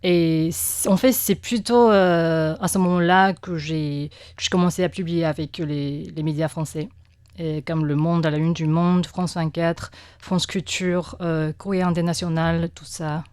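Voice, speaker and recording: A woman with a Taiwanese Mandarin accent speaks in a fairly low voice, with many fillers and restarts. She sounds like a second-language speaker answering interview questions. The recording is dry and close, like a studio mic. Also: fairly even intonation, unhurried, darkish tone, smooth, no echo. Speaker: guest press cartoonist.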